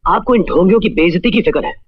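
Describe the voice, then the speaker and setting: A man's voice: sits high, lively intonation, fast, husky, far from the mic. A man playing an indignant, hot-headed young son, blunt and confrontational, in a melodramatic film spoof. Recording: dull lo-fi sound.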